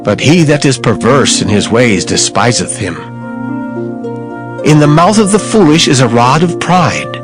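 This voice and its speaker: Male scripture reader, lively intonation, steady declamation, music bed underneath. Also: medium pitch, unhurried. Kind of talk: dramatized scripture reading. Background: background music.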